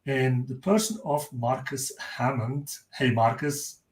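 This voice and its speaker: A man's voice: mid-range voice, slow. Man, from Belgium, webinar host, upbeat, welcoming, roomy echoey sound. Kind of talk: live webinar introduction. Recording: roomy echo.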